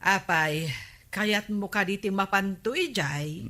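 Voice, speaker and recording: A woman with an Ilocano accent playing a sharp-tongued, nagging village wife in a radio comedy drama. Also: medium pitch, slow pace. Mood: reluctant.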